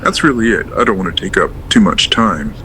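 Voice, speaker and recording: A man acting as a radio drama caller with a strikingly low voice and a crisp, forceful edge. He is a polite, modest night worker, hesitant and apologetic. Faint noise sits behind him. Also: animated, a little fast, low-quality recording. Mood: shy, grateful. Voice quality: distinctive deep voice.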